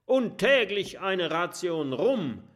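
Ein Mann spricht einen sehnsüchtigen Piraten, der seufzend redet. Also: male voice, on the high side, takes it slowly, a bit bright, smooth, voice pushed up. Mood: wistful. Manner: sighing. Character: wistful male pirate longing for rum.

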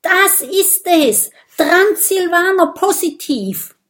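Woman playing a small, high-voiced, earnest vampire boy. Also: expressive, slow, a little mellow, exaggerated voice. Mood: excited.

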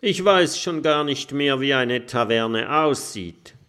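Ein Mann spielt eine brummige Piratin. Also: male voice, mid-range voice, lively intonation, takes it slowly, fairly bright tone, clear, resonant tone, pitched above the performer's own voice. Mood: grumpy. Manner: grumbling. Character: grumpy female pirate.

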